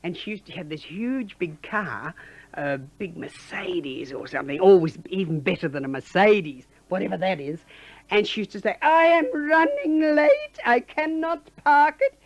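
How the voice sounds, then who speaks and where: A woman, a former colleague, tells a lively anecdote and mimics others' voices. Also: mid-range voice, normal speed, dry recording. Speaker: former station colleague interviewed. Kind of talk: anecdote telling.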